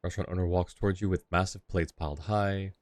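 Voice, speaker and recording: A man's voice: sits low, fairly even intonation, quick pace, a little mellow, dry recording. A man casually commentating on a game stream and reading dialogue choices aloud. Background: game music.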